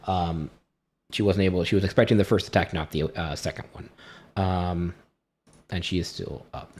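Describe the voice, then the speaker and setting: A man acting as game master, casually and haltingly narrating a tabletop fantasy game. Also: low-pitched, steady tone, darkish tone.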